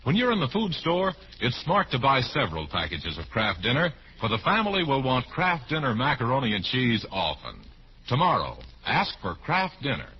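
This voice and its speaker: A man's voice: mid-range voice, huge pitch swings, normal speed, breathy edge. An American man announcing and reading ads in polished, salesmanlike 1940s radio style. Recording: muffled, faintly noisy old radio recording.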